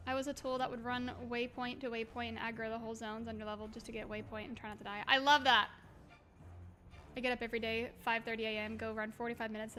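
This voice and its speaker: A woman chatting casually on a game livestream, expressive and affectionate, reading messages aloud, with a slightly hoarse voice. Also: fairly high pitch, slight background noise. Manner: expressive, exclaims often, gushes affectionately. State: slightly hoarse, by own account. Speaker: livestream host. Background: music.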